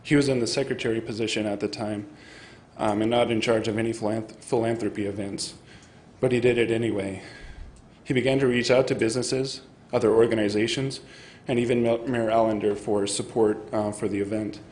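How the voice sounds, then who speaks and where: A man's voice: on the low side, steady tone, fairly quick, a little mellow. Young college guy from south dakota, polite, bit nervous, council mic, faint hum. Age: young adult. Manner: polite, slightly nervous, with restarts. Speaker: student fraternity president. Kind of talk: prepared public comment.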